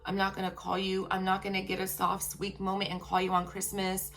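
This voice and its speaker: A woman telling a personal story to her viewers. She speaks frankly and emphatically and keeps checking in with "okay?". Her voice is turning raspy after a long workday. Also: mid-range voice, monotone, brisk, a bit bright, slight background noise.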